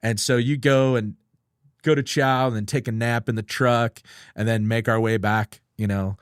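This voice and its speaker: A man's voice: medium pitch, fairly even intonation, normal speed, smooth, dry recording, clean studio sound. An older man, a podcast guest, casually reminiscing and explaining details of his service career in an informal way. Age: 50s or older. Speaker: podcast guest, retired air traffic controller. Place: close-miked dry studio.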